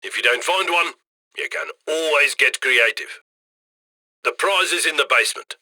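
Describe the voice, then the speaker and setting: Cocky heist boss for a video game, higher voice, super bright n punchy, slick chatty mission orders. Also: male voice, moderate pace, no room sound, studio quality. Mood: brisk, instructing. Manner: slick, conversational, egging the crew on. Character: cocky, upbeat male criminal heist handler. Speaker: heist handler giving mission directions.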